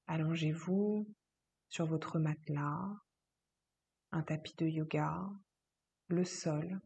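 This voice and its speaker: A woman yoga teacher leading a guided relaxation meditation, speaking slowly and calmly in a soft, husky voice with long pauses. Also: on the low side. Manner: slow, calm, soothing instruction with long pauses.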